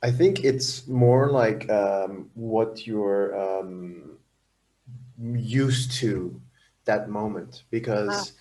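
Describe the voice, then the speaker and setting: A man's voice: fairly low pitch, drawn-out words, darkish tone. A young man, a student speaking in a class discussion, rambling and restarting his sentences with "um" and "like".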